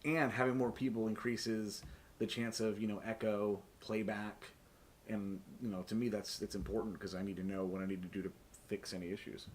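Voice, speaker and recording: A man's voice: fairly low pitch, little pitch movement, moderate pace, slight background noise, poor audio. A man hosting a tabletop game stream as game master, talking casually and jokily with plenty of swearing. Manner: profane, joking, laughs often.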